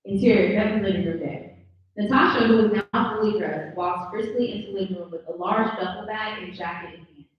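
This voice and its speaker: A woman's voice: medium pitch, brisk. A woman reading scripted stage directions as narrator at a live table read. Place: live indoor table read at a mixer event. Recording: slightly dull, very echoey, distant pickup.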